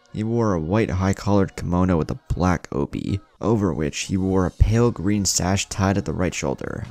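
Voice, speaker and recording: A man's voice: low-pitched, vocal fry, darkish tone. A man reading scripted story narration as the narrator.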